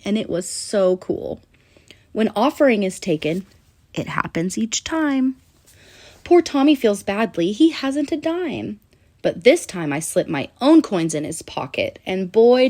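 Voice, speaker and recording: Animated woman reading a children's storybook. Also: mid-range voice. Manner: animated, sing-song storytelling with deliberate pauses. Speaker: children's book narrator. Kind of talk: expressive read-aloud of a rhyming children's picture book.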